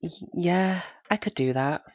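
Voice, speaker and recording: A man's voice: sits high, measured pace, dull audio. A man playing a mild, doubtful listener in a naturalistic audio drama, answering in short, tentative, questioning replies. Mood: puzzled.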